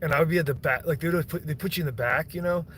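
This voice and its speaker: A man's voice: on the high side, racing, fairly bright tone. Young guy streaming, rambly and profane, keeps cutting himself off, bit of background noise. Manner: rambling, profane, self-interrupting. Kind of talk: casual rambling chat.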